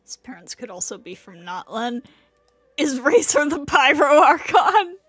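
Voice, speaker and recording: High-voiced woman streaming a game. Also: very animated, measured pace, a bit bright. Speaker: game livestreamer. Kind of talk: casual livestream commentary, reading game text aloud. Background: light game music.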